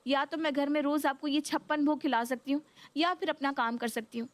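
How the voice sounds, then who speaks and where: A woman's voice: high-pitched, fairly even intonation, a little fast, no room sound, natural voice. A woman voicing a young Indian daughter-in-law, deferential yet fed up, explaining herself in a cartoon story. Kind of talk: Hindi cartoon story voice acting.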